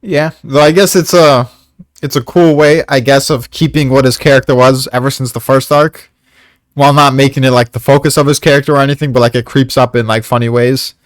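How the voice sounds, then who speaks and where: A man's voice: mid-range voice, no echo. Male co-host, mid-range voice, casual and slangy, rambles with plenty of swearing, dry recording. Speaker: co-host of a manga discussion. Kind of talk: casual chat.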